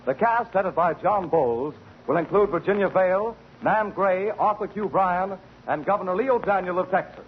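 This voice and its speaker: 40s radio network announcer guy, formal, high voice, muffled noisy old recording. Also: moderate pace.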